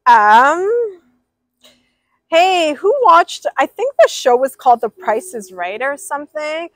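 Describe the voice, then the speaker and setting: A woman's voice: high voice, very animated, slow, fairly bright tone, no echo. Chatty young woman streaming live. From Persian. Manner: chatty, rambling, reads out viewer names. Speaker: livestreamer. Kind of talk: casual chat with viewers. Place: outdoors by a pool.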